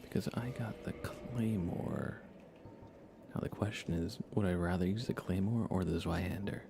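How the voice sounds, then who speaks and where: A man doing soft-spoken ASMR live commentary as a video game streamer, hushed and at times slipping into whispers. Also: low voice, normal speed, gravelly, noisy background.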